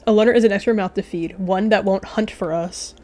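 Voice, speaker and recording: A woman's voice: mid-range voice, fairly quick, mellow, faint music behind, lightly acted. A woman voicing a stern, blunt male cat who leads a clan, speaking formally in a game roleplay. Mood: disapproving.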